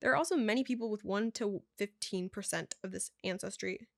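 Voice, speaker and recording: A young woman talks through what she reads in a loose, chatty way. She stumbles over words and drops casual asides as she goes. Her voice sits at an ordinary middle pitch, and the sound is dry and close. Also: brisk, gravelly, dry recording. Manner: stumbling read-aloud with casual asides and laughs. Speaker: vlogger. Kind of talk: casual chat reading results aloud.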